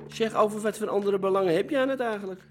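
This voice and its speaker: A man's voice: sits high, fast talker, darkish tone, faint music behind, lightly acted. An actor playing a blunt, suspicious sex-club owner in a radio drama, pressing his point in colloquial speech. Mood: confronting, probing. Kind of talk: naturalistic Dutch radio drama dialogue.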